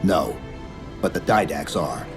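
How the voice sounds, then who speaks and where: Low-voiced stoic super-soldier. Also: male voice, animated, measured pace. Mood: urgent, controlled. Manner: terse, stoic, military. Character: stoic armored male super-soldier, calm and resolute. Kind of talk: video game cutscene acting. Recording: loud cinematic music behind.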